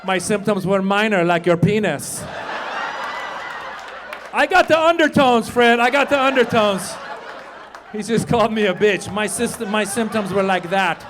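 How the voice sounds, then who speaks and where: A man performing a stand-up comedy set on a comedy club stage, talking with the crowd and acting out loud voices. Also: high voice, measured pace, long pauses, background noise. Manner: animated, crowd work, acts out loud voices.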